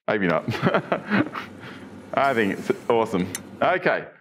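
A man's voice: mid-range voice, very animated, extremely slow, fairly bright tone, slight background noise. A middle-aged man presenting tech news in a studio, chatty and casual, with many fillers and restarts, asking the viewer questions. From Australia. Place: studio with branded backdrop.

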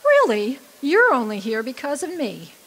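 Woman acting a brash, sassy, lively outlaw woman. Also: sits high, normal speed, heavily acted. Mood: blunt, needling. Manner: blunt, wisecracking. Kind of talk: stage radio drama acting.

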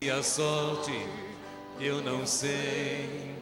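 A man singing a country-style hymn with drawn-out phrasing to a crowd. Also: medium pitch, flat delivery. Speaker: worship singer. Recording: echoey hall, loud band behind. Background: guitar and band.

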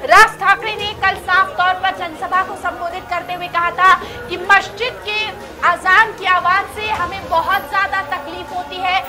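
Female news anchor, very high voice, urgent emphatic breaking news delivery over music and crowd noise. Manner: emphatic, repeating phrases for emphasis. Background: loud music and crowd sounds.

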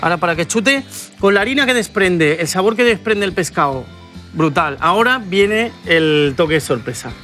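A lively man in his forties from Spain speaks with a fairly high voice. He hosts a cooking show, explaining each step as he cooks in a studio kitchen, with faint music underneath. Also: normal speed. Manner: chatty, enthusiastic, explains as he cooks. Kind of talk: cooking demonstration.

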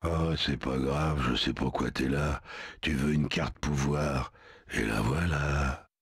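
A gravelly, sly zoo animal. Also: male voice, extremely low pitch, takes it slowly, no room sound, studio quality, heavily acted. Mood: conspiratorial. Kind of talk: video game dubbing.

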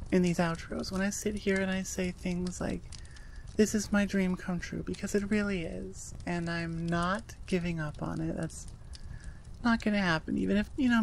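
A woman's voice: fairly low pitch, vocal fry, slight background noise. A woman audio creator talking casually and personally to her listeners, in an earnest, rambling way.

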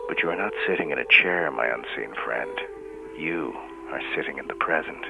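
A man's voice: very deep voice, creaky, full character voice. A man playing an ominous, pompous announcer, giving a grandiloquent, mock-portentous radio introduction. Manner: grandiloquent, deliberate. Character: ominous, pompous male announcer warning the listener. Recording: tinny radio-like band with hum, noise.

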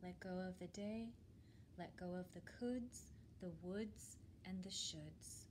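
A woman in her thirties leads a children's meditation from a picture book in a calm, soothing way. Her voice sits a little low and moves very slowly, with soft breathy phrase endings. She is recorded indoors with clearly audible background noise. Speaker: children's librarian reading at storytime. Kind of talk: read-aloud guided meditation for children. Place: library room with bookshelves, indoors.

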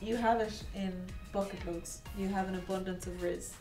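A woman's voice: mid-range voice, steady tone, moderate pace, darkish tone. A young woman from Ireland with an Irish accent, cheerfully explaining slang with easy examples as a tour director. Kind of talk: playful guessing game chat. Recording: roomy studio sound, music under it.

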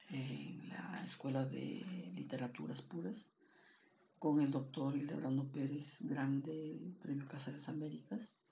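A woman in her sixties from Andean Peru, a native Quechua speaker, speaks in a halting, solemn, measured way as a poet presenting her work. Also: deep voice, creaky, dark tone, slight background noise. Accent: Andean Peruvian. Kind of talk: introducing and reading own poetry. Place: small plain room indoors.

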